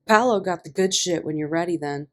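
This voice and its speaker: A woman voicing a shady male inmate in an audio story, pushy and falsely friendly with a sharp edge. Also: fairly low pitch, a little fast, natural voice. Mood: sly. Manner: eager with a sharp undercurrent.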